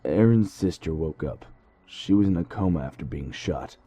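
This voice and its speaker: A man's voice: deep voice, animated, normal speed, a crowd in the background. A man playing a defiant, cornered loyalist who taunts bitterly in a dramatic audio drama. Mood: grudging.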